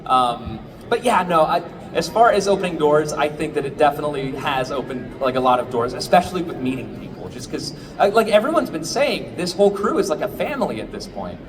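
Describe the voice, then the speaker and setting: Chatty man with a lively voice. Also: fairly high pitch, a little fast, hardly any pauses, crowd noise. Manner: chatty, lots of fillers. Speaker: voice actor panelist. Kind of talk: convention panel answer. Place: convention panel room. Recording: noisy convention hall.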